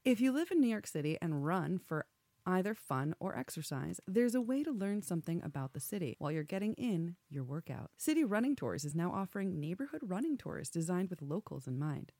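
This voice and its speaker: A woman's voice: fairly low pitch, big pitch swings, fairly quick, warm tone, dry recording. Young woman host, dry close sound. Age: 20s. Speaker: podcast host. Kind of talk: casual chat and read-out plugs.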